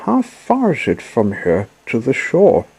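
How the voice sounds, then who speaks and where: A man's voice: medium pitch, huge pitch swings, unhurried, a little mellow, breathy edge, pitched above the performer's own voice, heavily acted, poor audio. A man voicing a grieving young wooden puppet boy, pleading and tearful, in a storybook reading. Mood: eager, anxious.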